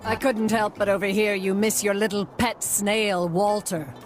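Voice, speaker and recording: Game role, actress: sly mystical woman merchant, mid-pitched, honeyed teasing delivery, light music behind. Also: steady tone, measured pace, lightly acted. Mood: sly, ominous.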